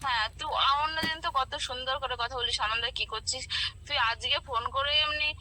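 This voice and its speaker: A woman's voice: high-pitched, brisk, sharp tone, slight background noise, rough recording. A woman on the phone in a heated informal argument, defending herself indignantly and repeating herself. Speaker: friend receiving a prank call.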